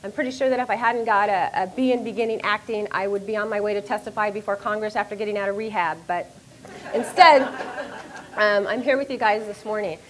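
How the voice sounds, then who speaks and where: A middle-aged woman, a university professor, giving an animated, self-deprecating lecture to an audience on a microphone in a lecture room. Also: mid-range voice, a little fast, some chatter behind, poor audio. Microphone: handheld or stand microphone.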